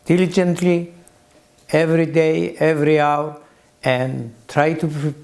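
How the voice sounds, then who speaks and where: A man in his eighties with a Greek accent, recalling his early life as an interview guest in halting phrases with long pauses. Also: fairly high pitch, vocal fry, darkish tone. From Greece. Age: 80s. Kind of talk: slow reflective recollection.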